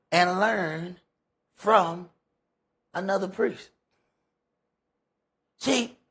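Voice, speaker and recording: A man's voice: sits high, gravelly, a bit bright, slightly rough. A man teaching scripture in an informal sermon, conversational and emphatic, repeating phrases for effect. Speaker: priest teaching a Bible study.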